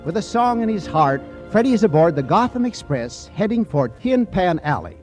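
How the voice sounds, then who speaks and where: A man's voice: high-pitched, big pitch swings, moderate pace, a little mellow, faint music behind. A man announcing and narrating in a formal, showmanlike 1940s radio style, reading from a script.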